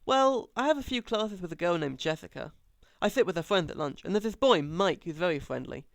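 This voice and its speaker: Man putting on an awkward, high-pitched teenage girl. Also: lively intonation, a little fast, vocal fry, no room sound, studio quality. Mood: polite. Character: awkward teenage schoolgirl, polite, hesitant daughter. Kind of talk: sarcastic amateur audiobook reading.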